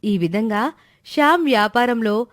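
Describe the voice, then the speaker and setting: A woman's voice: a little high, animated, unhurried, no room sound, clean studio sound. A woman narrator reading a scripted moral story in a storytelling style.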